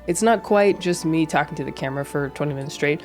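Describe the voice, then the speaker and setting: A woman's voice: low-pitched, fast talker, a little mellow. A young woman streamer with a head cold, a little nasal, talking earnestly to camera in a rambling, reflective way with many restarts. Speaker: streamer and video creator. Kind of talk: casual reflective commentary to camera. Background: faint background music.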